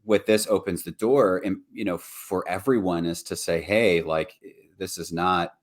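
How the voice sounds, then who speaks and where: Man, very deep voice, low register, earnest, reflective, casual podcast talk. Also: steady tone, measured pace. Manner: earnest, reflective, frequent "right?" and "like". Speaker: podcast co-host.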